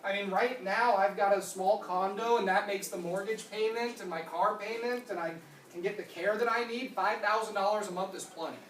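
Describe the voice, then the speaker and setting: A trainer in their thirties explains policy details to a room and answers audience questions with brisk yeses and nopes. The voice sits at a middle pitch. It is picked up from a distance in a roomy, echoing space with faint noise behind. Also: fairly bright tone. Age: 30s. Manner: explanatory, answers questions with quick "nope" and "yep". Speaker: insurance product trainer. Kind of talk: training presentation with Q&A. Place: conference room.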